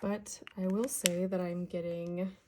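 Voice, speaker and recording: A woman hosting a coloring channel, chatting casually while she works, with a raspy voice and a soft, relaxed, slightly self-conscious delivery that often drops to a half whisper. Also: lowish voice, a little slow, background noise. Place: home.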